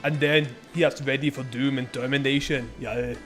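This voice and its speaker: A man's voice: mid-range voice, steady tone, moderate pace, fairly bright tone, soft background music. A young man born in Norway, chatting casually and rambling on his live stream, swearing freely. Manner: rambling, laid-back, lots of filler and swearing.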